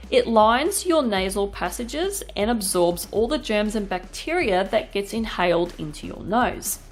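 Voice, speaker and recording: A woman with a nasal, sniffly voice, chatting bluntly over her art video with lots of fillers and casual swearing. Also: mid-range voice. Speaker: art channel host.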